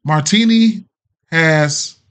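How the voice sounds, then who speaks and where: A middle-aged man with an American accent and a slightly higher-pitched voice. He talks like a casual sports betting streamer, thinking out loud and trailing off as he goes. His delivery is loose, slangy and peppered with profanity. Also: extremely slow. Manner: slangy, profane, hesitant and rambling. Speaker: sports betting show host. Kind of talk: casual off-the-cuff livestream betting picks.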